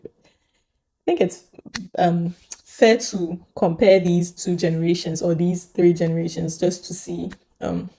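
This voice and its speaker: A millennial woman hosting a conversational video commentary, speaking haltingly and putting questions to viewers. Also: fairly low pitch, dark tone. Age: young adult to middle-aged, millennial. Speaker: host.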